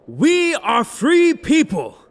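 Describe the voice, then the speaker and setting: A man's voice: very high voice, wildly swooping pitch, takes it slowly, full character voice. A young man playing a noble-born zealot prophet in a fantasy audio drama, orating in an impassioned, declamatory manner. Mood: fervent, defiant. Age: young adult.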